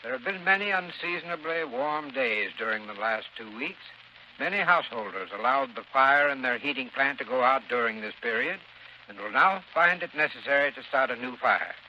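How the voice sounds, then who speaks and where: A man giving scripted 1930s radio advice as a sponsor's expert, formal, instructional and clearly enunciated. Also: mid-range voice, animated, moderate pace, bright, forceful tone. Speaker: heating expert in a sponsor spot. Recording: muffled 1930s radio broadcast, faint noise.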